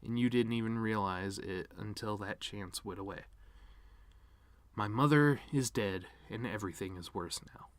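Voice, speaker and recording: A man's voice: on the low side, moderate pace, no echo, lightly acted. A man performing a dramatic monologue as a bitter, grieving middle-aged cartoon horse-man, sardonic, rambling and wounded. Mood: grieving, resigned. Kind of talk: dramatic reading of a eulogy monologue.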